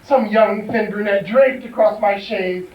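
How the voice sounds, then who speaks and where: A man's voice: high-pitched, a little slow. A man playing a middle-aged drag diva, wounded and grandiose, declaiming theatrically in stage musical comedy. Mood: wounded, melodramatic. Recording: distant echoey dull stage recording, noisy.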